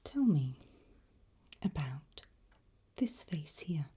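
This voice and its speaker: Woman's slow, breathy ASMR whisper. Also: low voice, very animated. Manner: gentle, reassuring, encouraging. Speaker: ASMR roleplayer playing an examiner.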